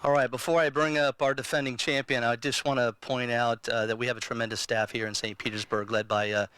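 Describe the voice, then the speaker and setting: Bright-voiced man introducing speakers. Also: medium pitch, level tone, brisk, smooth. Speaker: event organizer and master of ceremonies. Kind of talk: introducing speakers at a press event.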